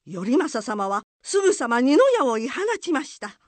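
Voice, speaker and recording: A woman's voice: high-pitched, big pitch swings, moderate pace, no room sound. A woman narrating a folk tale for a radio drama in scripted storytelling style.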